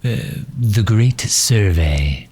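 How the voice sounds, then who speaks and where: Supervillain played by a man for ASMR roleplay drama: very low, unhurried, pompous and pedantic, condescending yet affable. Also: lively intonation, a little mellow, slightly rough, exaggerated voice. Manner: condescending, wordy, hesitant pauses. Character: pompous, pedantic, affable gentleman supervillain, fond of his hero.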